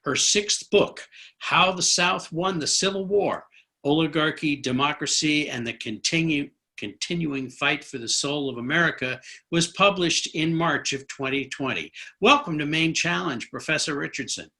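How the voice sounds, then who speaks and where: Bright-voiced man hosting an interview. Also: on the high side, moderate pace, gravelly. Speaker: host interviewing a guest. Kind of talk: conversational interview.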